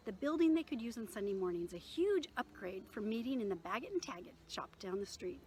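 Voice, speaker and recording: Woman reading her novel out loud, kinda high voice, expressive, bit of noise behind her. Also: poor audio. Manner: expressive reading with occasional stumbles and self-corrections. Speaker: author reading from own novel. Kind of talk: read-aloud storytelling.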